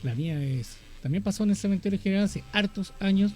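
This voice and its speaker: Fast-talking chilean man, high pitched. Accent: Chilean Spanish. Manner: lively, quick storytelling. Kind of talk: casual radio conversation. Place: radio studio.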